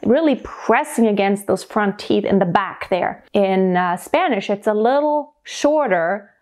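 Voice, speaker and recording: A woman's voice: mid-range voice, lively intonation, measured pace, a little mellow. A woman in her thirties with a light German accent gives a singing lesson to camera. She speaks animatedly in a home room with a piano and demonstrates sounds as she explains them. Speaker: singing teacher.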